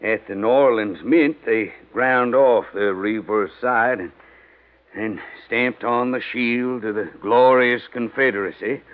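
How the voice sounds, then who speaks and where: A man's voice: mid-range voice, a little slow, natural voice. An actor playing a proud, irascible, fat older Southern rich man in a 1950s radio drama, folksy and commanding, with an American Southern accent. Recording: muffled vintage radio drama recording.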